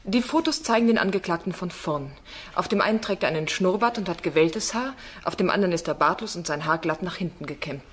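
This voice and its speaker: A woman's voice: on the low side, fast talker, a little hiss or hum, close to an everyday voice. An actress playing a bold, insistent female defence barrister in a German crime radio play. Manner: pointed, insistent cross-examining.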